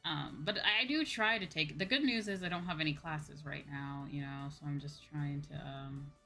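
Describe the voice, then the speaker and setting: A young woman chatting casually to viewers on a livestream in an expressive, rambling, self-deprecating way. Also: low voice, normal speed, gravelly. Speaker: VTuber streamer. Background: faint background music.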